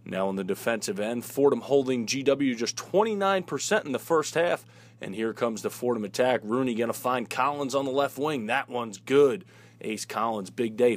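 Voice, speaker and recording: A man announcing sports highlights in a play-by-play commentary style.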